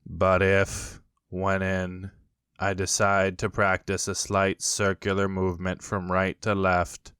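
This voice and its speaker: A man voicing a gloomy, sarcastic old donkey who drawls slowly and deadpan. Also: sits low, smooth, dry recording, studio quality, pitched below the performer's own voice, exaggerated voice. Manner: slow, flat, deadpan drawl.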